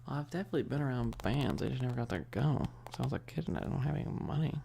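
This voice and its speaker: A livestream host chatting casually and informally in a soft, close whispery ASMR delivery into a binaural mic, rambling with teasing asides to chat. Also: mid-range voice, expressive, very fast, gravelly, slight background noise. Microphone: close binaural microphone. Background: tapping and keyboard clicking.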